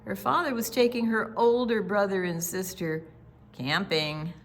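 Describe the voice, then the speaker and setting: A woman's voice: mid-range voice, fairly even intonation, unhurried. A woman hosting a children's storytime, reading and chatting expressively, drawing out words for young listeners. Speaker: children's storytime host and reader. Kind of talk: expressive read-aloud and friendly chat.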